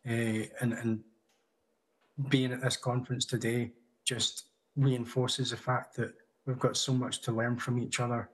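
Husky man speaking over a video call. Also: medium pitch, level tone, poor audio. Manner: measured, reads from notes with small restarts. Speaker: conference speaker. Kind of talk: prepared talk. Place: home room indoors. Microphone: video call webcam.

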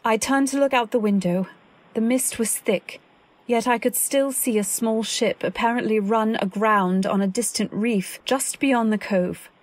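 A woman playing an anxious, earnest wife, narrating a radio drama in a literary, deliberate way. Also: on the high side. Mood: tense, reflective. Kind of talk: dramatic scripted narration, old-time radio.

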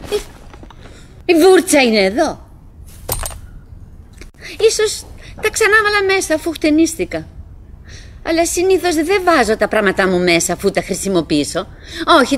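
A fretful middle-aged wife in a radio play, with a fairly high voice. She muses in halting phrases to herself and her husband, clinging to good cheer with theatrical care. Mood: fussing. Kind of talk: theatrical radio drama monologue.

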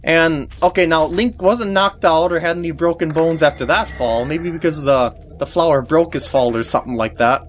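A man's voice: a little high, normal speed, hardly any pauses, a little hiss or hum. A man giving casual, jokey, rambling commentary as he plays through a video game. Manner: jokey, rambling, lapses into silly voices for characters. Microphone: voice recorded on a compact camera's microphone. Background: video game music.

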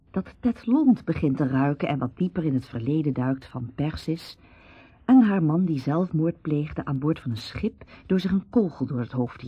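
A very husky woman with a low, mellow voice tells the story of a radio serial. The recording is dry and a little dull. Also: no room sound. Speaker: narrator. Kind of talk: scripted radio drama narration.

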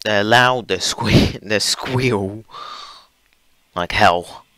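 Young male gamer host, deep-ish, unhurried, hesitant rambler, voice wearing out, noisy home recording. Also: pushed and bright. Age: young adult. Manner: rambling, hesitant, many fillers. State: losing his voice. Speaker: gaming channel host. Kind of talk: casual train-simulator gameplay commentary.

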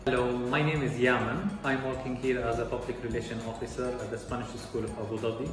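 A man's voice: medium pitch, little pitch movement, normal speed. A man in his thirties, a public relations officer, introduces himself politely with some hesitation. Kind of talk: self-introduction to camera. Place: school office. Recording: echoey hall, distant mic, music.

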